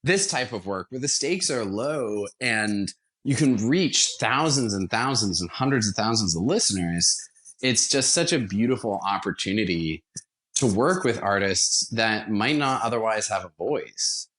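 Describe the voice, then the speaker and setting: Guy with a lowish voice chatting on a podcast, really into it and emphatic. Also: animated, measured pace, a bit bright, poor audio. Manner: enthusiastic, emphatic. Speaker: podcast guest, theater founder. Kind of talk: casual conversational interview.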